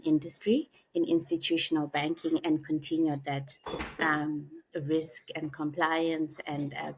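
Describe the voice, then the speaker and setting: A woman, a podcast guest telling her life story in a reflective, unhurried way with frequent pauses. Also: sits low, breathy edge. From Zimbabwe. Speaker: guest, author and executive coach. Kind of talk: podcast interview, personal storytelling.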